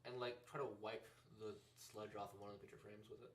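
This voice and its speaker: A man playing a tabletop game, talking quickly and fretting about his character's choices. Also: on the low side, flat delivery, reverberant, far from the mic, slight background noise. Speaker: player. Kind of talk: casual tabletop play.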